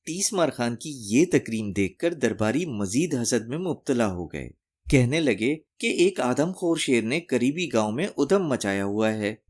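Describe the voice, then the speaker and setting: A man's voice: medium pitch, darkish tone. A man narrating a scripted folk tale for children as a storyteller.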